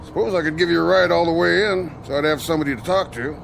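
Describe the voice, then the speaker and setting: An actor in a radio drama playing an easygoing long-haul truck driver with a gravelly voice and folksy, casual talk. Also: male voice, a little high, fast talker, a bit bright, a little hiss or hum. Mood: breezy, chatty.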